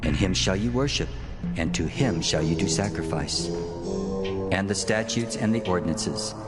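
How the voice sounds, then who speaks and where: God of Israel: low, slow, stern and authoritative, scripture audio drama over music. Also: male voice, animated. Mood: solemn, commanding. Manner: slow, deliberate, authoritative delivery. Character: God of Israel, solemn commanding male divine voice. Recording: music under the voice.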